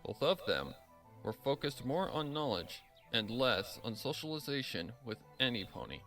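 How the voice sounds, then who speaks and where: Bright, expressive male story narrator. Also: mid-range voice, moderate pace. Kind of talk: scripted dramatic story narration. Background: music.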